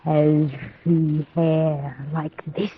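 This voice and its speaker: Predatory female vampire, alluring, extremely slow, gothic radio drama, tinny vintage recording, music behind. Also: low-pitched, level tone, breathy edge, exaggerated voice. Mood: hypnotic, teasing. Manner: languid, alluring, drawn-out. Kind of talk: old-time gothic radio acting.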